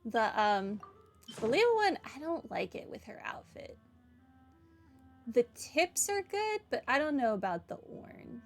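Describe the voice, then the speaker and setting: A woman's voice: a little high, very animated, a little slow. Offhand woman gamer over music. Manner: offhand, thinking aloud. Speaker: gaming streamer. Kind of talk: casual playthrough commentary. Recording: game music behind the voice. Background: clearly audible game music.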